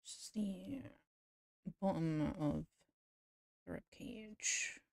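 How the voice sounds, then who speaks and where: A woman's voice: on the low side, very slow, vocal fry, no room sound. A woman streamer chatting casually and giggling as she answers viewers while she draws. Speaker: VTuber streamer.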